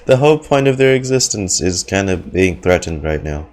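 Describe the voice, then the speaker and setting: A man's voice: sits low, lively intonation, moderate pace, noisy background. A man giving casual reaction commentary as a game streamer.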